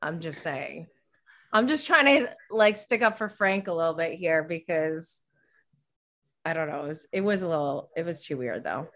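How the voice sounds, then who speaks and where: A woman's voice: deep voice, animated, normal speed, a bit bright. A woman in her thirties co-hosting a casual, conversational TV recap chat. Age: 30s. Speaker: podcast co-host.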